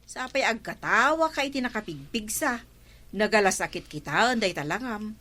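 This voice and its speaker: A woman's voice: medium pitch, expressive, normal speed, pushed and bright. A woman playing an indignant village woman in an Ilocano radio comedy drama, scolding and exclaiming in an Ilocano accent. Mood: concerned, disbelieving.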